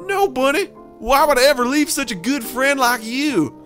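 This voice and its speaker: Man putting on a high, melodramatic cartoon character. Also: animated, normal speed, a bit bright, soft background music. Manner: exaggerated, theatrical.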